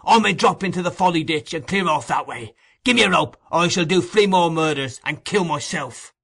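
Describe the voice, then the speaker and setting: A man's voice: on the high side, lively intonation, normal speed, sharp tone, husky, pitched above the performer's own voice, full character voice. A man doing a fierce, desperate cornered ruffian. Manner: barking, menacing commands.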